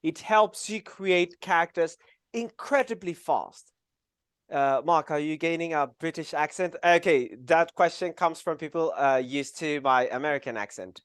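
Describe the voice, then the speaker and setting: A man's voice: a little high, a little slow, a bit bright, clean tone, no room sound, studio quality. A man from Mexico with a Mexican accent, teaching a live online animation class in a chatty way, with many fillers and laughs. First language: Spanish. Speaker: animation instructor.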